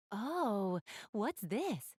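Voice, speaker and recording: A woman's voice: a little high, darkish tone, husky, no room sound, clean studio sound, heavily acted. A woman playing a gracious, refined young goddess in a video game, speaking to the player in polite, elegant, formal phrasing. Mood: cheerful, amused.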